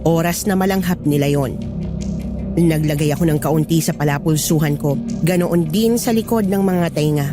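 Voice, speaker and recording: A woman's voice: low-pitched, normal speed, music in the background. A woman narrating a scripted first-person Filipino horror story.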